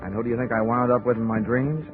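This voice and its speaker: A man's voice: mid-range voice, steady tone, quick pace, close to an everyday voice. An actor playing a wry, wisecracking insurance investigator who narrates his own case in a 1940s radio drama. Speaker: narrator and lead detective. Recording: tinny 1940s radio broadcast with music.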